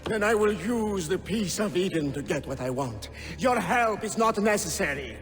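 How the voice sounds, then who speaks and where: An actor playing a ruthless, power-hungry young lord in a video game, with an imperious, explosive manner. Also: male voice, high-pitched, lively intonation, normal speed. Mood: demanding. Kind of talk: dramatic game cutscene acting. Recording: long hall reverb under loud music.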